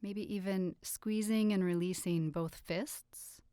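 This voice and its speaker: A woman leading a guided relaxation meditation with soothing, gentle instructions and unhurried pauses. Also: medium pitch, fairly even intonation, no room sound, studio quality. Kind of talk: guided meditation, yoga nidra.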